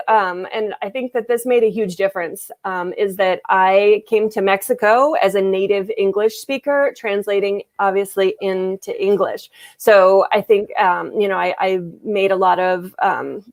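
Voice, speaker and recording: A woman's voice: mid-range voice, creaky, dry recording. A woman translator and interpreter answering interview questions in a casual, hesitant way, with many fillers and restarts over a video call.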